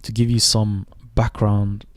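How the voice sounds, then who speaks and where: A young man in his twenties who grew up in Sweden, hosting a reflective solo podcast and speaking thoughtfully, with pauses and self-corrections. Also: on the low side, level tone, dry recording. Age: 20s. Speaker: podcast host.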